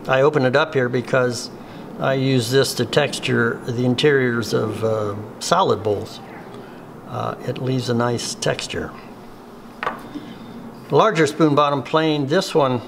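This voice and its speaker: A middle-aged man giving a hands-on woodworking tutorial in a workshop, unhurried and plainspoken, with many ums and uhs. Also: medium pitch, vocal fry, a bit bright, slight background noise. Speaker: woodworking instructor.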